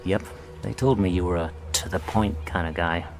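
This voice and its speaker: A man playing a frank, wry American intelligence officer in a video game cutscene, with an American accent and a casual, measured manner. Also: sits low, music in the background.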